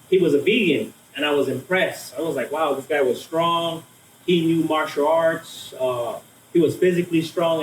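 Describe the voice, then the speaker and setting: A man in his thirties tells his story in a loose, rambling way, full of fillers and restarts. His voice sits a little high for a man. He was recorded from a distance in an echoey room, with faint noise behind him. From Hawaii, raised in Las Vegas. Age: 30s. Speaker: vegan cook and guest. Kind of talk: casual storytelling.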